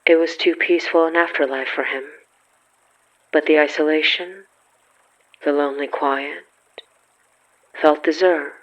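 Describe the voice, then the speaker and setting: A man reading scripted horror fiction as a narrator, solemn and measured with dramatic pauses. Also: a little high, steady tone, a bit bright, lo-fi sound.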